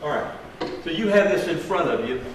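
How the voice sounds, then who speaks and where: A folksy, emphatic man in middle age leads a money seminar, tossing questions to his audience. His voice sits a little high. He is picked up from across a very echoey room with faint hiss. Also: expressive, brisk, far from the mic. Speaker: financial advisor teaching a seminar. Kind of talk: classroom presentation. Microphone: room mic from a distance.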